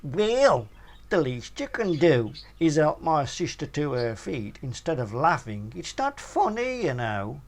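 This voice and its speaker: A man's voice: fairly high pitch, sing-song, unhurried, voice pushed up. A man voicing an indignant young woman, protective and scolding, in a comic short story.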